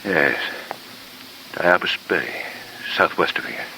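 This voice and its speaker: An actor playing a curt, formal company manager with an air of authority in a 1950s radio drama. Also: male voice, sits low, expressive, slow, creaky, bright, forceful tone, exaggerated voice, low-quality recording. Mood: shaken. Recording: old radio recording, faint noise.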